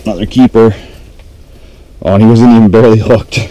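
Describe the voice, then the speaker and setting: A man with a low, mellow voice gives casual fishing commentary. He talks low-key, half to himself, into a body-worn camera, with some background noise. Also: fairly even intonation, fairly quick. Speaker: fishing vlogger. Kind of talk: casual running commentary while ice fishing. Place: small ice-fishing shelter on a frozen lake, sometimes out on open ice. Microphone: action camera worn on the body. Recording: dark muffled sound, background noise.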